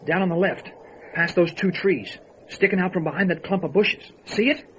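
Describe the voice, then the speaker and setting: A man's voice: high-pitched, normal speed, fairly bright tone. A man playing a plain-spoken, sceptical sheriff's deputy and sidekick in an old-time radio drama. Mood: wary. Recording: dull lo-fi radio drama recording, faint noise.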